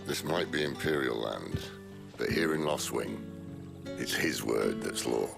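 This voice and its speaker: A middle-aged fantasy rebel leader for a video game. His voice is extremely low and thick with gravel, rising and falling freely as he teases and commands with easy, wry authority. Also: lively intonation, music in the background, full character voice. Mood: confident. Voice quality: gravelly, deep. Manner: wry, teasing, unhurried authority. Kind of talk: video game cutscene acting.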